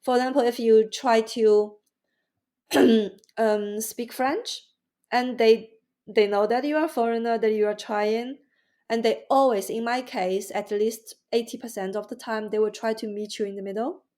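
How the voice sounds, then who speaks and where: A woman's voice: a little high, fairly even intonation, mellow. Hesitant Mandarin-accented woman guest. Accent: Mandarin Chinese. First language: Mandarin Chinese. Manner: halting, many restarts and fillers. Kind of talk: podcast interview.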